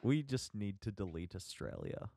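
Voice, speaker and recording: A man with a fairly low voice, recorded close and dry with little room sound. He co-hosts a podcast in casual banter, with an informal, sarcastic edge. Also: expressive, moderate pace, warm tone, dry recording. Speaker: podcast co-host.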